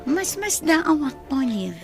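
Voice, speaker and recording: A rather high voice for a shy, smitten young female student, acted by a woman for a radio drama. She sounds pensive and hesitant. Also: big pitch swings, slow, over loud music, heavily acted. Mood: wistful. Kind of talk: Ilocano radio drama acting.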